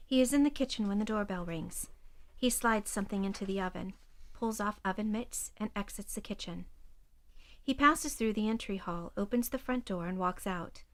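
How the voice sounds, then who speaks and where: Measured woman narrating an audio drama. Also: mid-range voice. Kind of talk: scripted audio drama narration in present tense.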